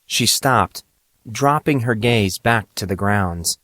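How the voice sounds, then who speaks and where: A man's voice: on the low side, slow pace, sharp tone, no room sound. A man reading scripted narration for an audio drama of a young adult story.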